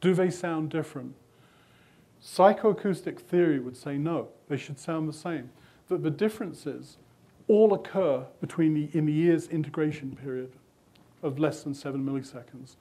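A man's voice: fairly high pitch, moderate pace, dark tone. An older man with a British accent, a magazine editor answering audience questions at a conference talk in a hotel meeting room. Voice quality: thoughtful, loose explanatory manner with restarts. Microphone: podium mic, speaking away from it.

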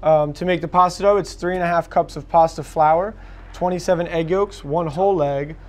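A man's voice: a little high, fairly even intonation, normal speed. A man, a guest chef, demonstrating a recipe in a TV studio kitchen, explaining step by step with many fillers. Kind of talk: cooking demonstration.

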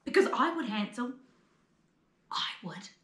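A woman's voice: fairly high pitch, animated, measured pace, fairly bright tone. A woman playing a scheming, exasperated stepmother in a children's fairy tale, theatrical and bossy. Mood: bossy, coaxing. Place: small living room, on a sofa. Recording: roomy indoor echo.

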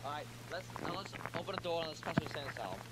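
A man's voice: a little high, lively intonation, very fast, very noisy. A young man improvising mock news reporting, often repeating himself. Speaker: skit announcer and reporter.

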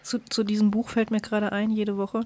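A woman's voice: mid-range voice, little pitch movement, fairly quick, darkish tone, no room sound. A woman co-hosting a podcast in casual, conversational talk. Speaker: podcast co-host.

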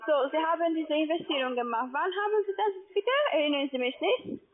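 A woman speaking non-native German as a call-centre agent, insistent, halting and repeating herself on a sales call. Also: high-pitched. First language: not German. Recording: tinny narrowband phone line.

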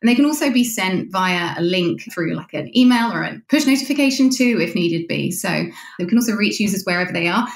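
A woman's voice: medium pitch, lively intonation, a little fast, gravelly, reverberant. A woman being interviewed, explaining a product in a conversational way.

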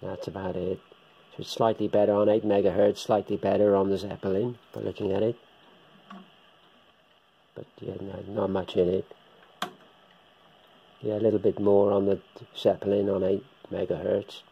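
A man giving a casual, hands-on equipment demonstration as a hobbyist presenter, talking unhurriedly in short, halting bursts. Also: on the low side, flat delivery, vocal fry, husky, highs cut off. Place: indoors, room with radio gear on a shelf.

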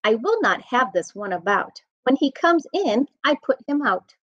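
A high-voiced American woman reads a rhyming picture book aloud for storytime. Her delivery is expressive and sing-song, with lively swings in pitch. The sound is slightly dull, as from a webcam. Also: moderate pace, no room sound. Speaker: children's storytime reader. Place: room at home. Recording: dull, muffled webcam audio.

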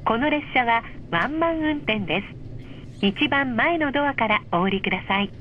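Female train announcer, higher register, polite measured delivery, slightly lo-fi onboard speaker sound. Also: lively intonation, a little hiss or hum. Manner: polite, measured, clearly enunciated. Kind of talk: scripted automated train announcement. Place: played over train car speakers. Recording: dull, muffled train speaker recording.

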